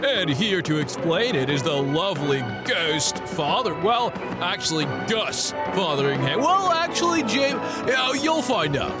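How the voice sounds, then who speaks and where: A man's voice: high voice, very animated, unhurried. A man giving a playful, scripted podcast announcement in a coy, tongue-in-cheek, theatrical way. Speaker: announcer introducing an ad break. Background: loud music.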